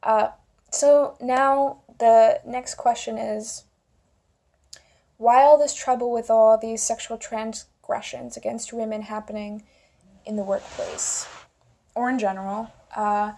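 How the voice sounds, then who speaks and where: High-pitched, creaky young woman, informal. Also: fairly even intonation, slow pace, mellow. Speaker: video commentator. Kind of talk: casual opinion vlog to camera.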